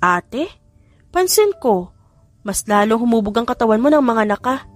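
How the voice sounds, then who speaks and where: A woman's voice: on the high side, very animated, normal speed, faint music behind. Woman acting a chatty, playful young neighbour woman.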